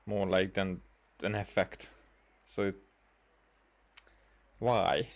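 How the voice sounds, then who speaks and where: Low-voiced young man commenting on a game. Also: steady tone, creaky. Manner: halting, repeats words. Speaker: let's player streaming a playthrough. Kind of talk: casual game commentary, reading some dialogue aloud.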